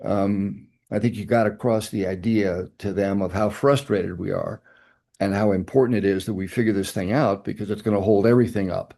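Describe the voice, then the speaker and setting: An older man with a low, gravelly voice and a dark, mellow tone. He recalls past negotiations in an oral history interview, reflective and now and then emphatic. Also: steady tone, moderate pace. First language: English. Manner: reflective storytelling, emphatic asides. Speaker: interviewee, nuclear fuel executive.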